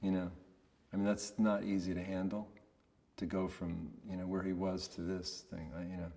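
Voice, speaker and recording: A man giving a casual, reflective interview in a monotonous voice, hesitant and understated as he searches for words. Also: deep voice, moderate pace, gravelly, long reverb tail. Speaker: voice actor being interviewed.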